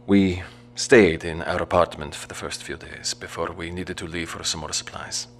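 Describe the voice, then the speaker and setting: Deep-voiced, gentle, wary survivor father. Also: fairly even intonation, slight background noise. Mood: calm, polite. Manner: measured, formal, polite. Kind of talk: audio drama acting.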